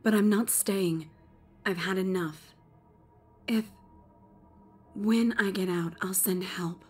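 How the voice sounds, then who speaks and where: A woman's voice: medium pitch, takes it slowly, husky, soft background music. A woman playing a tough, guarded secret operative in an audiobook, with curt, clipped delivery. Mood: resolute. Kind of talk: acted audiobook dialogue over music.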